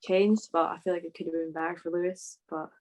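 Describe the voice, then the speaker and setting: A woman's voice: fairly low pitch, steady tone, fast, darkish tone, slight background noise. A woman co-hosting a casual motorsport chat in an informal, opinionated way. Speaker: podcast co-host.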